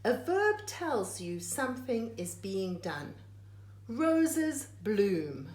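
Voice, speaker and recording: A woman reads a rhyming picture book aloud in an echoey room. Her delivery is very slow and deliberate, broken phrase by phrase. The pitch swings widely as she leans on words for dramatic emphasis. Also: big pitch swings, darkish tone.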